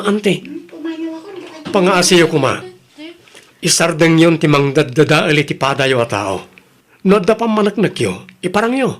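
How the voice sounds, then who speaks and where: A man with a Filipino accent playing an aggrieved, earnest young grandson with a prison past in an audio drama. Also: high-pitched. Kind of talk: audio drama acting in Ilocano.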